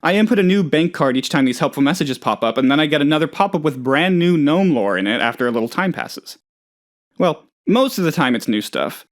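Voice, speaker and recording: A man acts out an oddball male boss from a video game, naive and easily excited. His voice sits a little high and bounces widely in pitch. He rambles chattily, hesitating as he goes, with total gullible earnestness. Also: lightly acted. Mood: earnest, rambling. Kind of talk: video game character dialogue.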